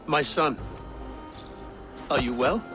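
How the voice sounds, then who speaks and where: A man playing a slow-spoken, solemn new king. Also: mid-range voice, over music, natural voice. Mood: concerned, fatherly. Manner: measured, solemn, formal. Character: grateful newly crowned middle-aged king, weary father. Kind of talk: video game cutscene acting.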